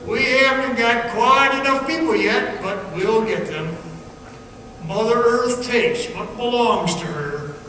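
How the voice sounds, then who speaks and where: An actor playing a sinister, slyly knowing storyteller in a radio play, speaking with dramatic, ominous pauses. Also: mid-range voice, bright, forceful tone, a little hiss or hum. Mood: ominous, taunting. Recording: echoey stage hall, distant mic, music.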